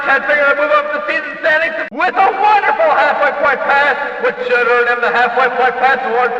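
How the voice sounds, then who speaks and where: A man playing an excitable, cheeky stock car race announcer in a video game, with emphatic, showy delivery. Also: extremely high pitch, full character voice. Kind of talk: excited racing commentary. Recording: echoey PA-like hall, distant and dull.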